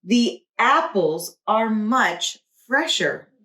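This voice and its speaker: A woman voicing a kindly, patient storybook grandfather with an easygoing, gently teasing manner. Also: fairly high pitch, lively intonation, very slow, slight background noise, exaggerated voice. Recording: roomy echo.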